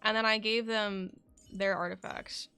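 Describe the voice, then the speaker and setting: Creaky-voiced woman streaming a game. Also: medium pitch, steady tone, a little slow, sharp tone, faint music behind. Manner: rambling, self-questioning asides. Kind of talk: casual livestream chat over gameplay.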